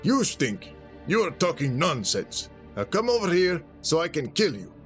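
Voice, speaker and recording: A man's voice: sing-song, normal speed, soft background music. A man voicing a condescending, threatening fighter with a foreign accent and stilted, formal phrasing, in an audiobook. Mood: indignant, menacing. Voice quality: deep.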